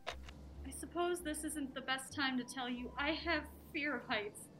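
A woman's voice: high voice, unhurried, music in the background, rough recording. A woman playing a wry, wary amateur sleuth in a naturalistic audio drama, with a dry, questioning manner. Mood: wry, teasing. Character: wry, wary young woman on a mystery hunt.

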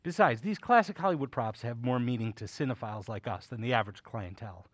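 An actor playing a courtly, whimsical old uncle who runs a curiosity shop in an audio drama, genial and theatrical, with old-fashioned manners. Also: male voice, mid-range voice, lively intonation, fast talker, dry recording, studio quality, lightly acted. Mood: fond, confiding.

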